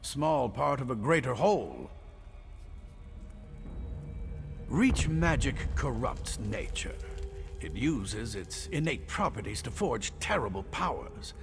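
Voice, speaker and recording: An animated, gravelly scholarly alchemist. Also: male voice, mid-range voice, a little slow, music in the background. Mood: fascinated, grave. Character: nervous, scholarly male alchemist obsessed with enemy magic. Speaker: game character, alchemist. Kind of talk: video game voice acting.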